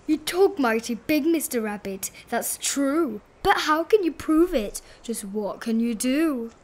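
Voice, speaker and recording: A woman as a slow, gruff storybook bear. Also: sits high, lively intonation, a little mellow. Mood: scornful. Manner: scornful, challenging, deliberate. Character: big gruff male bear, scornful and grumpy. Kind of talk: children's storybook character reading.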